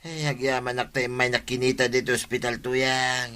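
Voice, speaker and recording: A man's voice: medium pitch, completely flat delivery, normal speed, pushed and bright, clean tone, natural voice. Man playing a piercing, whiny hapless prankster. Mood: sulky. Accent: Ilocano. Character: whiny, hapless male village prankster, pleading. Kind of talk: Ilocano comedy radio drama acting.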